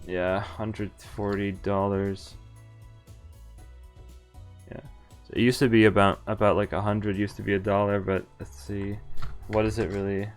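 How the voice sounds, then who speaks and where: A man's voice: fairly low pitch, little pitch movement, measured pace, pauses often. Swearing, laughing streamer man. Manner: profane, laughing, reads game text aloud. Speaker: streamer playing a visual novel. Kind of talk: casual gaming commentary. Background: faint game music.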